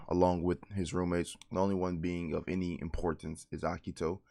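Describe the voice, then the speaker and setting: A man who just woke up, his voice deeper and gravellier than usual, casually reviewing a light novel in a rambling, informal way. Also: no room sound. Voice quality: gravelly morning voice. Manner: rambling, self-correcting, informal. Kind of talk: casual spoken book review.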